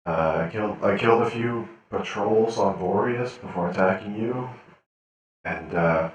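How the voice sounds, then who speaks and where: A man's voice: lowish voice, measured pace, a little hiss or hum. A man playing a captured hired killer in a sci-fi game role-play, laconic and casual, with hesitant answers and a reverberant voice. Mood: flat, evasive. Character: captured male hired killer, laconic, menacing attack dog. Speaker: role-player in an online game. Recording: heavily echoing, distant-sounding voice.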